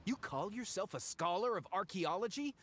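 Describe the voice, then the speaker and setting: High-pitched, greedy blustering fraud. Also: male voice, moderate pace, slight background noise. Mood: scornful. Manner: pompous, hedging, excitable. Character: greedy, blustering fake archaeologist, shifty and self-important. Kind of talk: anime video game voice acting.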